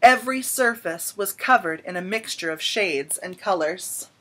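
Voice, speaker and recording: A woman in her thirties reading a children's picture book aloud in an expressive, dramatic storytelling manner with emphatic pauses. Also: lowish voice. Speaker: storybook reader. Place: small indoor room at home.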